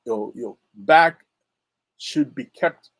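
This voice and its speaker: Japanese guy w japanese accent, english coach, talks slow n halting, lots of uhs, dry close mic. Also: mid-range voice, expressive, dry recording. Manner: halting, many fillers and restarts. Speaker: pronunciation coach. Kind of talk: casual spoken lesson.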